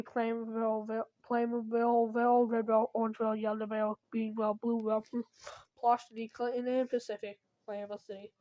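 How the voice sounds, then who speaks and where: A woman's voice: a little high, dark tone, poor audio. A woman announcing a scripted emergency weather bulletin, stumbling at times and correcting herself.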